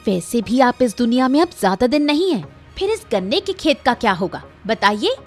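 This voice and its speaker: A woman's voice: a little high, very animated, normal speed, soft background music. A woman voicing a blunt, practical village daughter-in-law in a cartoon story. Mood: scornful, nagging.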